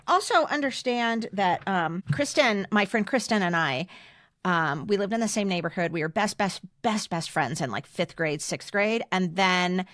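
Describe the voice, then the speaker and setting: A woman's voice: medium pitch, fairly bright tone, no room sound. Female podcast host, 50s, American, mid-range voice, chatty with explanatory asides, very dry recording. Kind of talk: casual chat, reading old yearbook messages aloud.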